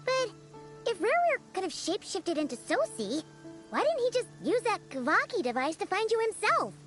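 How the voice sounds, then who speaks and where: A woman voicing a tiny, childlike fairy companion in a video game, perky and curious, with chirpy, informal, squeaky speech. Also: sing-song, moderate pace, fairly bright tone, full character voice. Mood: puzzled. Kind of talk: scripted video game character dialogue. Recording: game audio with background music.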